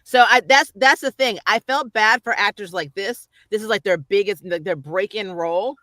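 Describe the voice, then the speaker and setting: A woman hosting a casual chat, speaking in an animated, opinionated way and laughing readily. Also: mid-range voice, normal speed, no room sound. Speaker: host.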